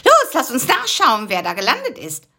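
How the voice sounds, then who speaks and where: A woman voicing an eager, cheeky little male critter who teases his friend and gets excited. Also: high voice, moderate pace, pitched above the performer's own voice, heavily acted. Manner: teasing, excited.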